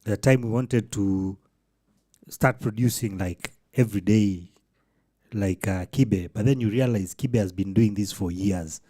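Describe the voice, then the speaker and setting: A man's voice: fairly low pitch, measured pace, warm tone, no room sound, studio quality. A man co-hosting a casual podcast, talking reflectively and mixing in Swahili phrases. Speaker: podcast co-host.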